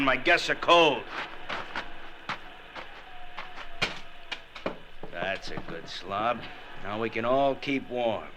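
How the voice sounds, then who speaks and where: A man's voice: medium pitch, close to an everyday voice. A man playing a gloating, menacing gangster killer in an old radio drama, taunting his captives with sardonic, florid slang. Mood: mocking menace. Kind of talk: 1940s radio drama acting. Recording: old radio recording with faint noise.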